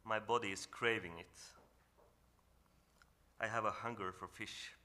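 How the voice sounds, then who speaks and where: A man with a Norwegian accent speaks in a fairly low but bright, forward tone. He talks earnestly and calmly, and he often stops and restarts as he searches for his words. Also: a little slow. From northern Norway. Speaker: panel speaker. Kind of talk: reflective presentation at a symposium.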